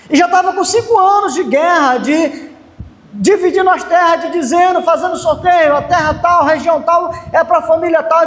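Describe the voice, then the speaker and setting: A man preaching to his congregation in a very high voice. He leans into key phrases and often raises his voice. The sound fills a roomy church hall, and a long reverb trails behind each line. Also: fairly bright tone. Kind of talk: sermon.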